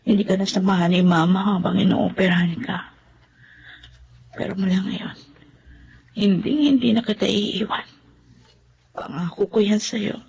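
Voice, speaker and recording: A woman's voice: medium pitch, fairly even intonation, normal speed, vocal fry, pauses often, a little mellow, highs cut off, a little hiss or hum, lightly acted. A woman acting an anxious, devoted young mother in a Filipino melodrama, speaking with emotional, pleading delivery. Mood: tender, worried.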